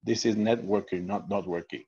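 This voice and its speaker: A man's voice: on the low side, fairly even intonation, moderate pace, dark tone, husky, lo-fi sound. A man from Mexico with a Mexican Spanish accent, Spanish his first language, giving an online training talk with many fillers and restarts. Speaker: network marketing trainer.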